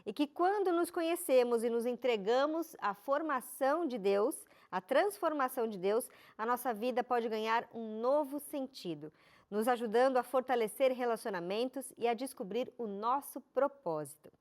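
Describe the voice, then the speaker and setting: A woman hosting a faith-themed TV interview, reading a clear introduction and asking measured questions. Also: on the high side, darkish tone. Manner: clear, measured presenter delivery. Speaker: TV show host.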